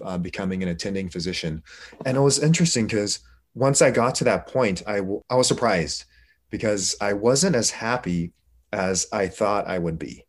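A middle-aged man, an interview guest, telling his personal story in an informal, reflective, conversational way. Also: low-pitched. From Korea, moved to the United States.

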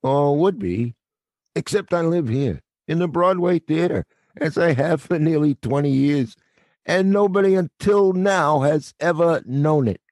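A man playing a gruff, kindly night watchman. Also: a little high, a little slow, darkish tone, no echo, studio quality. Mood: confiding. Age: middle-aged. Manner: wry, world-weary, fatherly. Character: middle-aged male theater night watchman, gruff but kind. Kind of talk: audio play acting.

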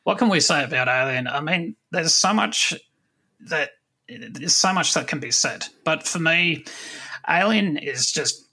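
A man in his late thirties with a bright, forward voice hosts a casual podcast. He talks in a conversational way, often stopping and restarting as he looks for the right word. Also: fairly high pitch, expressive, measured pace, slightly rough. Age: late 30s. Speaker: podcast host. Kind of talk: casual conversation about films and comics.

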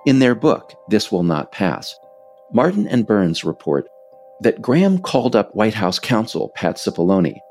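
An American man reading a scripted narration as its author, in a measured way with emphasis on key phrases. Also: on the low side, gravelly, darkish tone. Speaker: author narrating own work.

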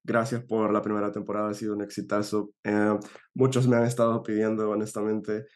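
A man from El Salvador hosting a casual podcast interview, his voice hoarse from allergies, chatty and quick to laugh. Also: lowish voice, level tone. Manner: friendly, laughs often, chatty. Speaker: podcast host. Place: home in Europe.

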